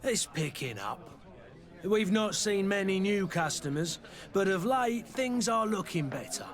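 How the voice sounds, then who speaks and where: A fantasy game tavern keeper with a slightly high male voice. He is talkative and world-weary, telling things in a folksy, storytelling way. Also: lively intonation, a little slow. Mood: welcoming. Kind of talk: video game dialogue acting.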